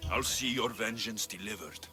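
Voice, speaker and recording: An actor playing a confident, plain-spoken mercenary hero in a video game, steady and reassuring. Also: male voice, on the low side, moderate pace, over music, lightly acted. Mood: resolute. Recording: long hall reverb, faint noise.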